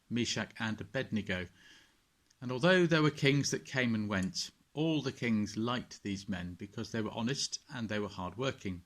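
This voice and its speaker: A man's voice: fairly low pitch, a bit bright. A man, a vicar, reading a children's bedtime story and telling it expressively to his listeners.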